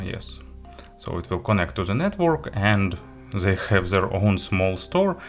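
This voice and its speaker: A man with a low-pitched voice reviews tech in English that is not his first language. He talks casually and haltingly, correcting himself as he lists features, with faint music behind him. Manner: halting, hesitant delivery with self-corrections and listed items. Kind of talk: casual product walkthrough, unscripted.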